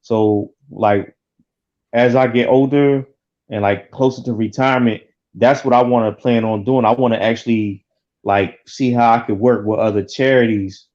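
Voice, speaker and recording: Middle-aged dude talking earnest, lots of "like", kinda muffled audio. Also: male voice, mid-range voice, steady tone, moderate pace, a little mellow. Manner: earnest, full of fillers like "like". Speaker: guest. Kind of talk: casual chat.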